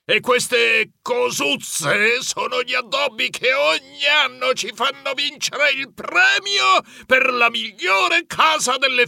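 Brief: cranky, proud elderly mouse grandfather, storybook style; very creaky, piercingly bright, slow indignant bluster. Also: high-pitched, no room sound, clean studio sound, pitched above the performer's own voice, heavily acted. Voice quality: gravelly. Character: grumpy, proud old grandfather mouse, easily outraged.

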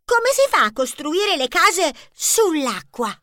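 A man voicing eager youngsters on an adventure in a children's story, excitable and chirpy. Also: very high voice, slow, no echo, studio quality, voice pushed up, full character voice. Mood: curious. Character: eager, high-voiced youngsters on an adventure team.

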